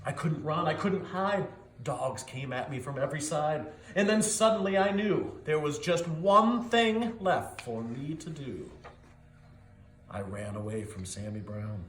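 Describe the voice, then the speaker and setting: A man voicing a big, eager, clumsy dog telling his own story in an expressive, rhythmic storybook read-aloud with a roomy echo. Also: fairly high pitch, unhurried, pitched below the performer's own voice. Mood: desperate. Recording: echoey, roomy sound.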